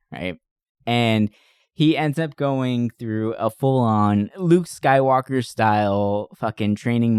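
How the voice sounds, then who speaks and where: A man's voice: mid-range voice, a little mellow, clean tone, no echo, studio quality. A man hosting a podcast, chatting casually about films in a rambling, profanity-laden way. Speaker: podcast host. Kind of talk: casual movie discussion.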